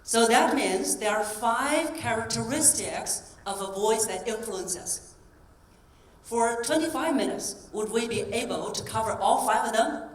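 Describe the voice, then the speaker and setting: A woman voice coach giving an energetic keynote talk, coaxing the audience through exercises on stage. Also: on the high side, unhurried. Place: large conference hall on stage. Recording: roomy hall, distant mic, faint ambience.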